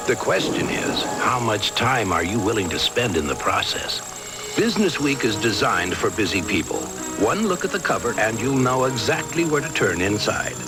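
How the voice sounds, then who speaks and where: A man's voice: fairly low pitch, very animated, moderate pace. A man narrating a scripted commercial as its announcer. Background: music.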